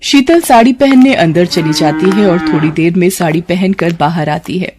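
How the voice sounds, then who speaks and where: A woman's voice: fairly low pitch, normal speed, a crowd in the background. A woman narrating a scripted story as a storyteller.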